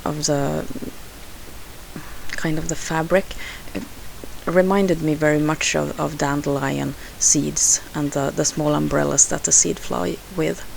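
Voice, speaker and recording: Swedish-accented woman, craft voice-over; low, unhurried, halting delivery. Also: fairly even intonation, vocal fry, a little hiss or hum, poor audio. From Sweden. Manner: hesitant, unhurried, frequent fillers and restarts. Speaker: scrapbooking crafter.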